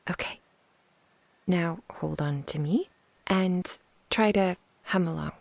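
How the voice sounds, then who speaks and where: Woman acting affectionate witch, ASMR roleplay, soft and playful, slightly low pitch, breathy, intimate. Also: takes it slowly, no room sound, heavily acted. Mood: reassuring. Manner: soft, breathy, tender murmuring with little hums. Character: affectionate young witch, tender and playful with her soulmate.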